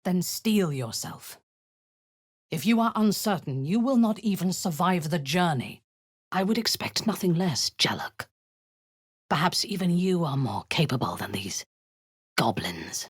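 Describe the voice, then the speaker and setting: A husky, cruel drow warlord. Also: female voice, fairly low pitch, sing-song, a little slow, no echo, studio quality, heavily acted. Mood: commanding. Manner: commanding, menacing, drops to a husky whisper. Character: cold, imperious female drow warlord, cruel and commanding. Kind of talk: video game voice acting.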